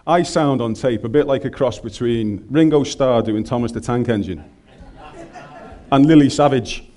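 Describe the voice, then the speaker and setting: A man's voice: medium pitch, normal speed, dark tone. Scouse man preaching with humor. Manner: humorous, conversational, colloquial. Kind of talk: sermon.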